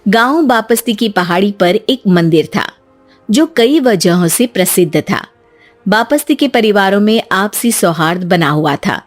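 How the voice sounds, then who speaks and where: A woman's voice: mid-range voice, animated, unhurried, faint music behind. A woman narrator reading a scripted story.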